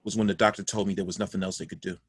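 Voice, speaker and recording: Actor playing a folksy, low-voiced ghostly father. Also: flat delivery, racing, breathy edge. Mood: nostalgic, tender. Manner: folksy, confiding, emphatic storytelling. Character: dead father's ghost, folksy, doting, guilt-ridden storyteller. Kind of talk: theatrical monologue in a stage play reading.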